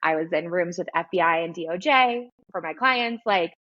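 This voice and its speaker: Host type: girly-voiced woman, slight lisp, animated chat, a little lo-fi. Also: fairly low pitch, moderate pace, no echo. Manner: animated, laughs while talking. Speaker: podcast host and business coach. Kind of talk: casual interview chat.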